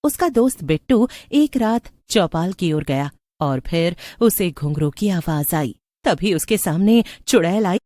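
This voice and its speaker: A woman's voice: lowish voice, animated, unhurried, warm tone, no room sound. A woman narrator reading a scripted story.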